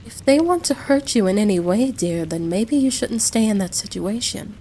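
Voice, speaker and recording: A woman playing a caring, motherly American wife in a roleplay audio drama, gentle and reassuring, full of endearments. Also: medium pitch, animated, normal speed, a little hiss or hum, close to an everyday voice.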